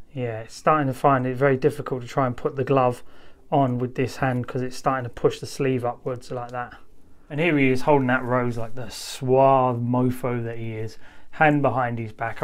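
A man giving a casual, unscripted hands-on review commentary in an easygoing, chatty, conversational way. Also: medium pitch. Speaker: toy reviewer.

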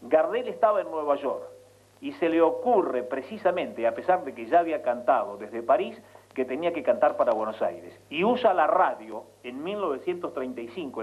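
A man's voice: mid-range voice, animated, moderate pace. A man with a Rioplatense Spanish accent telling radio anecdotes as an announcer on a live link. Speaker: radio announcer and guest correspondent. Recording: muffled, noisy live radio link with hum.

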